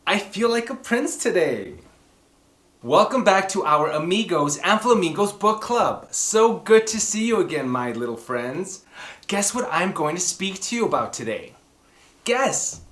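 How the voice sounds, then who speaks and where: A man hosts a children's story time in a theatrical, exclamatory way, talking straight to his young audience. His pitch swings widely while he takes his time over the words. The room adds a noticeable echo around his voice. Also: big pitch swings, unhurried, fairly bright tone. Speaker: host of a children's book club and story time. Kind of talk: animated children's book presenting and read-aloud. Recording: roomy echoing room sound.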